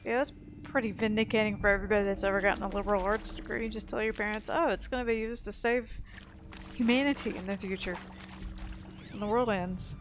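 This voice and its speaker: A woman's voice: mid-range voice, steady tone, quick pace, a little hiss or hum. A woman giving casual, offhand gameplay commentary with wry remarks. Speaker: let's play host.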